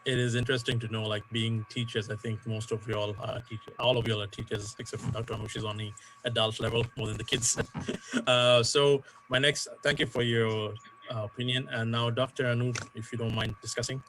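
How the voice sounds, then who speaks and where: A man's voice: mid-range voice, flat delivery, a little fast, fairly bright tone, a little hiss or hum, rough recording. A man moderating a panel, polite and a little hesitant.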